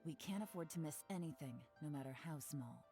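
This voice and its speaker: Actress playing a slick female spy in a game, low, mellow voice, teasing and sure of herself, faint music behind. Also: close to an everyday voice. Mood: businesslike. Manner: wry, confident, brisk. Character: cool, teasing female covert agent. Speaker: game character. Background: game soundtrack music.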